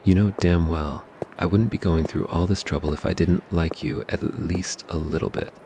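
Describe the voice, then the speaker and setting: A man's voice: low voice, steady tone, normal speed, mellow, slight background noise. A man voicing a cocky, possessive young mafia boss in an ASMR roleplay, smug and teasing. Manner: smug, teasing, turns flustered.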